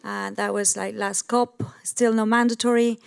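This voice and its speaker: Smooth, even-toned Argentine woman. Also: medium pitch, normal speed. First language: Spanish. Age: middle-aged. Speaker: panel guest, sustainability entrepreneur. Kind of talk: panel discussion, introducing own work.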